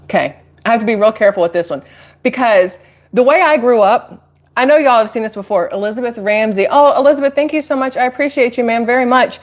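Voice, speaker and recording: A woman's voice: a little high, fast. An American woman hosting a live stream of casual political commentary, chatty and rambling with emphatic asides. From Atlanta, United States.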